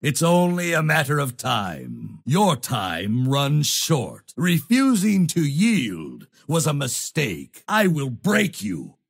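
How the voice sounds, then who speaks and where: A male actor playing a proud, zealous holy knight leader in a fantasy video game, with a gravelly, husky voice and grandiose, solemn delivery. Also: fairly high pitch, huge pitch swings, full character voice. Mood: menacing. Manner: grandiose, solemn, deliberate declamation. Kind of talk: theatrical fantasy game voice lines.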